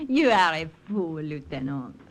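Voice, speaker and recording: An actress playing a cool, teasing young French chateau hostess with a French accent in an old radio drama. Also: female voice, low-pitched, exaggerated voice. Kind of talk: 1950s radio drama acting.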